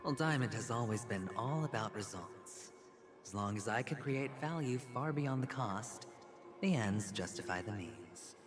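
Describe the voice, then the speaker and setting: An actor playing a cool, calculating male gambler in a video game, speaking in a measured, wry way. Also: on the low side, expressive, music in the background. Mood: calm, calculating. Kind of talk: dramatic video game voice acting.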